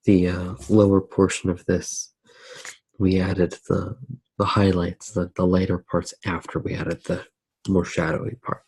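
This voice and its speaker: Male ASMR artist, very low and husky, soft-spoken, thinking aloud as he demonstrates drawing. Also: little pitch movement, a little slow, low-quality recording. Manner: soft-spoken, musing, hesitant.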